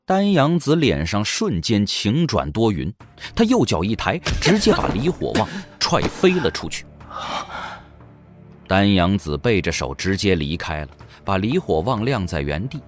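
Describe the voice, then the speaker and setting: A man narrates a fantasy story in a deep voice. His scripted delivery is dramatic, with lively rises and falls. Faint music plays behind him. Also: a little slow. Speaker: audiobook narrator.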